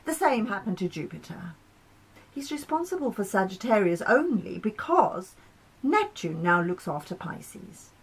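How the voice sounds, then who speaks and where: A stern, authoritative male planet from a storybook, voiced by a woman, lecturing the others with measured patience. The delivery is insistent and reasoned, with a husky edge. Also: mid-range voice, very animated, exaggerated voice. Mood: stern, placating.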